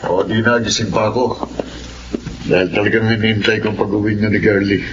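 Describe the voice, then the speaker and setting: A man's voice: fairly low pitch, flat delivery, a little fast, close to an everyday voice. An actor playing a resentful, authoritative Filipino husband and father in a radio drama. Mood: controlled. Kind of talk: radio horror drama acting. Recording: dull radio sound, clear noise.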